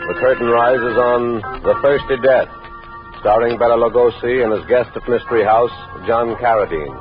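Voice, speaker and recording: A man giving ominous, measured narration for an old radio mystery. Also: medium pitch. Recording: muffled old radio recording, loud music.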